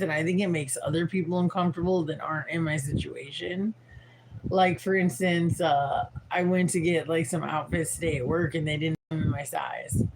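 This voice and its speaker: A tired woman in her forties with a raspy voice, rambling frankly and swearing as she chats on a livestream. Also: on the low side, background noise. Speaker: livestream host. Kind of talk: casual livestream chat.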